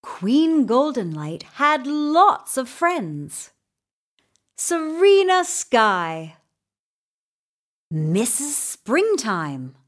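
A woman narrating a children's story in an expressive, deliberate way with dramatic emphasis. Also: fairly high pitch.

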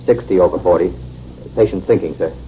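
A man acting in a 1950s radio drama, playing a brisk, respectful assistant doctor. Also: deep voice, natural voice. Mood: urgent, clipped. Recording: muffled, noisy 1950s radio recording.

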